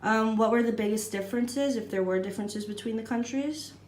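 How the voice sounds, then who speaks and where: A woman's voice: on the high side, a little fast, darkish tone, slight background noise. A woman interviewer asking direct, plainly worded questions. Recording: roomy echo.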